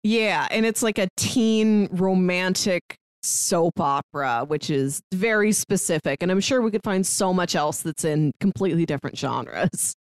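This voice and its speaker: A woman's voice: on the low side, a little slow, hardly any pauses, no echo, clean studio sound. A woman talking as a podcast co-host in a casual, conversational way. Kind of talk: casual chat about shows and films.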